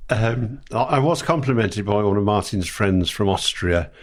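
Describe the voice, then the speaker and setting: A man's voice: lowish voice, normal speed. An older man, a guest and father, talking in a blunt, plain-spoken way. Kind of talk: casual chat.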